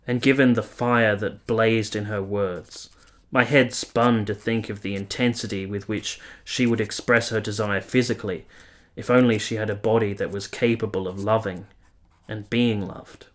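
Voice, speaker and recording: An actor playing a brooding, conflicted nineteenth-century soldier, narrating a literary radio play in a formal, measured, eloquent manner. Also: male voice, lowish voice, fairly even intonation, lightly acted. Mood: brooding, intense.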